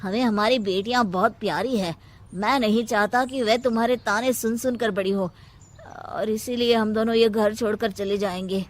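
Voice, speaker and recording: A woman's voice: fairly high pitch, fairly even intonation, normal speed, close to an everyday voice. A woman voicing a frustrated, principled adult son in a cartoon moral story. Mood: firm, fed up. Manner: firm, reproachful.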